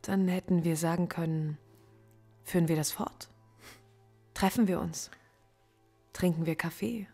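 A lonely, guarded philosophy professor held for questioning in a radio drama. Her voice sits fairly low and often drops to a hushed, introspective tone. Faint music runs beneath her. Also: fairly even intonation, measured pace, long pauses. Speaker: suspect under interrogation. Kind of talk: radio play acting.

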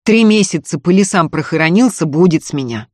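Stubborn peasant man, voiced by an audiobook narrator, gruff, blunt, stolid, dry recording. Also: medium pitch, lively intonation, fairly quick, no room sound, natural voice.

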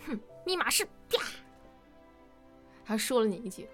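A woman's voice: fairly high pitch, very animated, measured pace. A woman hosting a casual fan quiz in a chatty, conversational way, leading the questions. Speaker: quiz host. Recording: music behind the voice.

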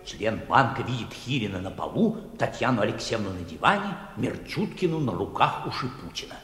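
A man's voice: fairly low pitch, big pitch swings, fairly quick, a bit bright. A man narrating stage directions in a radio play. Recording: echoey hall, long reverb.

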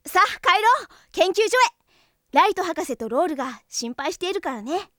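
A woman playing an earnest, gentle heroic robot boy in an anime audio drama, speaking politely and reassuringly. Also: very high-pitched, animated, moderate pace, no room sound, studio quality, exaggerated voice. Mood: upbeat, reassuring. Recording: music behind the voice.

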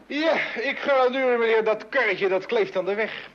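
A man's voice: very high-pitched, moderate pace. An actor playing a slick, pushy, boastful used-car salesman with glib slangy patter in a radio comedy. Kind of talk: mid-century Dutch radio comedy acting. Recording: dull old radio recording with noise.

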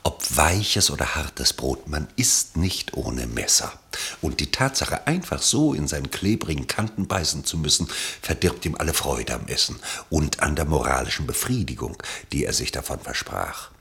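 A man with a husky, gravelly voice narrating a literary radio play from a script. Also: extremely low pitch, lively intonation, normal speed, fairly bright tone.

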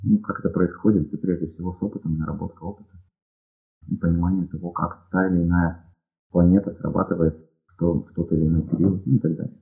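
Deep-voiced man on a tinny line. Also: steady tone, creaky. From Ukraine. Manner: measured, pausing with fillers. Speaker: radio guest answering listener questions. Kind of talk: live radio call-in answers. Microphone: headphones with headset audio. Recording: phone-like narrow audio.

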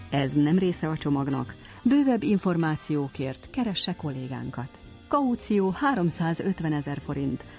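Female announcer, very low for a woman, neutral scripted ad read, itemised details, faint music underneath. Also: darkish tone. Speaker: announcer reading an advertisement. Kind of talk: scripted classified ad reading.

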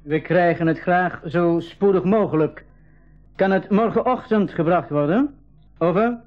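Dull-sounding, higher-voiced male expedition leader. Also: a little slow, soft background music. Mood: businesslike. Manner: explanatory, patient. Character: friendly, patient male jungle expedition leader. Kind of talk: 1980s school radio drama acting. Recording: dull 1980s radio recording.

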